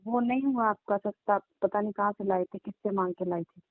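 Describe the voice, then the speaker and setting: A woman's voice: medium pitch, brisk, no echo, lightly acted. A woman playing an aggrieved, insistent young woman in a dramatized phone argument, complaining and repeating herself. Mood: demanding. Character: aggrieved, insistent young divorced woman confronting older lover. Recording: muffled, narrow tinny phone line.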